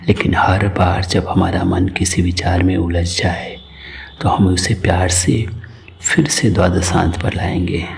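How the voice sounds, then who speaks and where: A man narrating a guided meditation in a calm, soothing, unhurried way, with soft breathy edges to his voice. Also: low-pitched, slight background noise.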